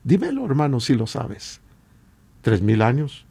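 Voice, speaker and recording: A man with a Latin American Spanish accent and a husky voice, preaching informally and emphatically with repeated rhetorical questions. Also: medium pitch, huge pitch swings, measured pace, mellow. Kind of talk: informal exhortation sermon.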